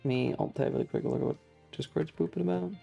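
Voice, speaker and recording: A man's voice: mid-range voice, fast, vocal fry, dark tone, breathy edge. A man casually commentating a video game on a livestream and chatting with viewers, sweary and dramatically exasperated. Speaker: streamer. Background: video game music.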